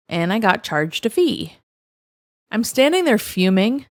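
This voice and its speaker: A woman's voice: medium pitch, dry recording, studio quality. A woman narrating first-person stories in a wry, matter-of-fact way. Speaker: narrator reading online stories.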